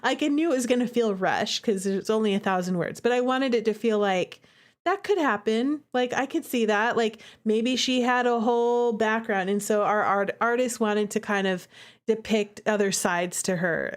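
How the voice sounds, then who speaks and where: A woman streamer giving casual, expressive and giggly commentary on a game she made herself. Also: medium pitch, moderate pace.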